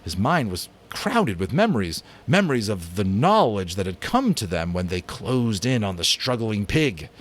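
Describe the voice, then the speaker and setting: A man's voice: on the low side, sing-song. Man reading a novel dramatically. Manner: measured, dramatic. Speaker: narrator and amateur voice actor.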